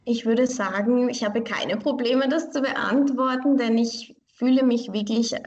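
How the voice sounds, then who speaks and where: A woman's voice: a little high, measured pace, darkish tone, dull audio. A young woman from Vienna, a pianist answering interview questions in a thoughtful, earnest way and often restarting phrases. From Vienna, Austria. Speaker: pianist and online piano teacher.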